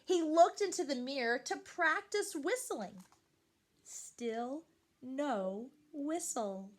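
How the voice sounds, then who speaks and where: A woman reading a picture book aloud to young children, expressively and with questions to the listeners. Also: high voice. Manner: animated, slow and deliberate, addressing young children.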